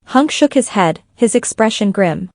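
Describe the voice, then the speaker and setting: A woman's voice: medium pitch, animated, moderate pace, a little mellow, no echo. A woman reading scripted fan fiction as a story narrator.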